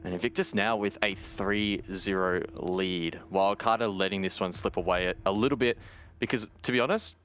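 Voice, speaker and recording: A man giving analytical colour commentary on a live esports match in a measured, wordy way. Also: low-pitched, a bit bright, smooth.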